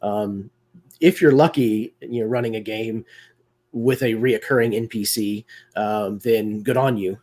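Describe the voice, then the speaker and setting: A man guests on a roleplaying-game discussion over a video call. His voice is husky and a little raspy, and he talks things through thoughtfully with frequent pauses and fillers. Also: on the low side, a little mellow. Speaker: guest performer. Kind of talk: casual discussion of roleplaying games.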